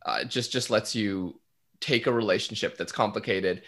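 A man's voice: on the low side, normal speed, a bit bright. A young man, an actor, talking casually and enthusiastically in a panel discussion with colloquial phrasing. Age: young adult.

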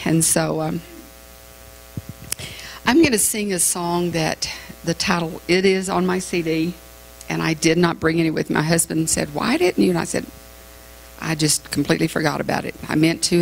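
A woman's voice: low-pitched, fairly even intonation, moderate pace, creaky, pauses often, slight background noise, rough recording. A woman in her fifties, a guest speaker at a women's church conference, chatting casually with the congregation in a large sanctuary before she sings. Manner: chatty, self-deprecating, with frequent ums. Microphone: stage microphone at a distance from the camera.

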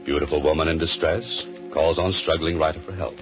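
A man's voice: very deep voice, fairly even intonation, brisk. An actor playing a dry, wisecracking male mystery writer in a 1940s radio drama. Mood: wry narration. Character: wry male mystery writer turned adventurer. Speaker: lead actor. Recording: dull old radio broadcast with music.

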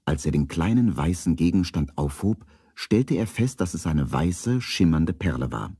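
Radio play narrator for kids detective story, deep voice, really lively melody. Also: male voice, moderate pace, husky, dry recording, studio quality, exaggerated voice. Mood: measured storytelling.

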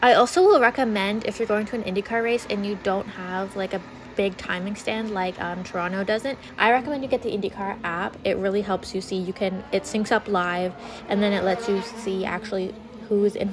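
A young woman chatting excitedly into her phone camera in a bedroom, with lots of "like"s. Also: mid-range voice, background noise. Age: young adult. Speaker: vlogger. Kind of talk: casual vlog chat. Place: bedroom indoors. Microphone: phone camera at arm's length.

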